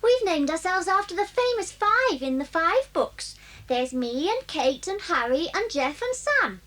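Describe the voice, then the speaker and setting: High-voiced, bossy scheming schoolgirl. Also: sing-song, measured pace, fairly bright tone, heavily acted. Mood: boastful, taunting. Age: child figure. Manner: boastful, commanding. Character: bossy, scheming young schoolgirl, boastful and sly. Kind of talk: children's audio drama acting.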